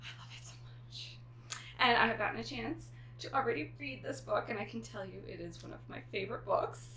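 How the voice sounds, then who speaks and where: A woman's voice: on the high side, animated, fairly quick, a bit bright, slight background noise. An American woman hosting in a casual, playful way, rambling informally as she chats. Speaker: host. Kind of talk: casual, chatty vlog talk.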